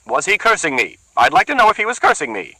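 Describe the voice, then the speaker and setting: A man putting on a high sneering woman, Bertha. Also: very animated, fast, sharp tone, no room sound, exaggerated voice, poor audio. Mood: demanding. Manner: shrill, jabbing, sour sarcasm. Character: loud needling sarcastic woman.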